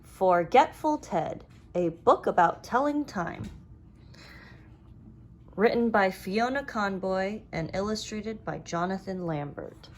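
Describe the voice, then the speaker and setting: A woman reading a children's storybook aloud as narrator, with expressive storytelling. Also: medium pitch, takes it slowly. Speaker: storybook reader.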